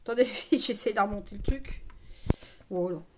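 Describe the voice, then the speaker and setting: A woman's voice: mid-range voice, normal speed, a little mellow, a little hiss or hum. A woman giving a casual live make-up tutorial, chatting familiarly with her viewers and throwing in playful asides. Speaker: live make-up streamer and beauty seller.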